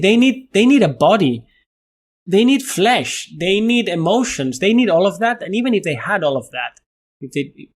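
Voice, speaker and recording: Spanish-accented male guest, slightly high pitch, lively emphatic speaker. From Spain. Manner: animated, laughs often, repeats words when stressing a point. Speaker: guest scientist. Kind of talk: conversational interview.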